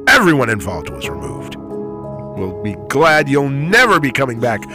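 A man's voice: medium pitch, wildly swooping pitch, measured pace. A man on a morning radio crew, bantering and reading a review reply in a dramatic style. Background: music.

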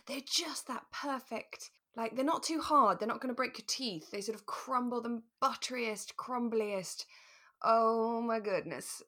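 A woman co-hosting a relaxed, casual chat podcast, talking in an effusive, conversational way. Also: fairly high pitch, unhurried. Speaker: podcast co-host. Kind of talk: relaxed casual chat about a radio drama.

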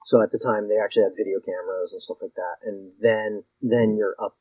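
A man's voice: lowish voice, fairly even intonation, brisk, a little mellow, breathy edge, no echo, rough recording. A man in his fifties from Connecticut, a guest actor telling stories in a casual interview, rambling and self-deprecating with many restarts.

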